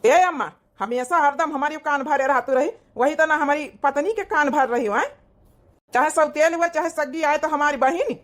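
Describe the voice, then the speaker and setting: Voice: high voice, moderate pace, fairly bright tone. A performer voicing a protective, caring young village husband and brother with an Awadhi accent, earnest in manner. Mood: indignant.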